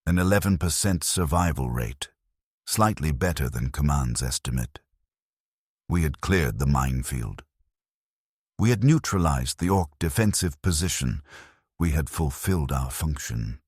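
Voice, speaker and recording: A man narrating a dramatic scripted first-person war story as a grim, war-weary soldier, in a grave, measured, deliberate delivery. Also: a bass voice, animated, a little mellow, husky, no room sound, studio quality. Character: grim war-weary penal legion soldier recounting battles. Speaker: narrator of a fan lore channel.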